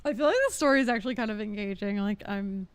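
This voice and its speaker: A woman's voice: mid-range voice, fast talker, slight background noise. A woman streamer giving casual live commentary on a game, chatty and giggly in manner.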